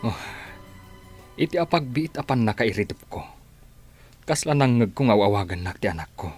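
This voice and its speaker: An actor playing a worried middle-aged father in a radio drama, with a husky voice. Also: on the low side, lively intonation, moderate pace, a little mellow, faint music behind. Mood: uneasy.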